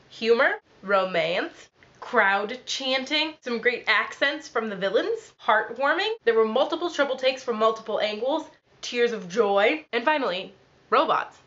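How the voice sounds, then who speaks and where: A young woman who is ill and sounds nasal, telling a story in a quick, dry, deadpan vlog. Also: on the high side, muffled recording. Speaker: vlogger.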